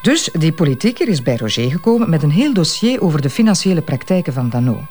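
An actress playing a detective's teasing, self-assured wife in a radio drama, with playful, colloquial Flemish speech. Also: female voice, deep voice, big pitch swings, quick pace, mellow, faint music behind. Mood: confiding, animated. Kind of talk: naturalistic radio drama dialogue.

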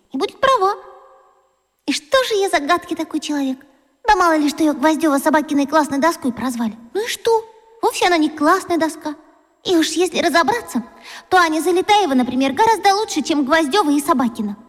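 A kind, dreamy fifth-grade schoolgirl, fretting to herself in a children's radio play. Her voice sits high and youthful, and a long, ringing reverb trails every phrase. She chides herself in a worried inner monologue. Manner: fretful inner monologue, self-reproaching. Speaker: radio drama actress.

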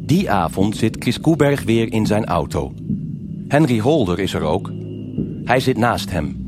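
A man narrating a scripted live noir radio drama in a measured, atmospheric way with short phrases. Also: lowish voice, sing-song. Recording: live stage with loud music.

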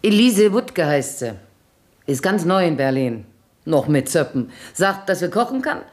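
An actress playing a brisk, officious employment agent for housemaids in a German radio play, giving clipped commands. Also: female voice, low voice, sing-song, darkish tone. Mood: businesslike.

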